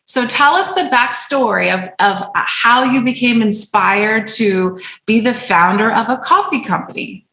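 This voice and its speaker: A woman's voice: on the high side, measured pace, hardly any pauses, bright, forceful tone, echoey room, low-quality recording. A woman hosting a brand interview, bubbly and enthusiastic, full of praise for her guest. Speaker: host. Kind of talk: upbeat video interview.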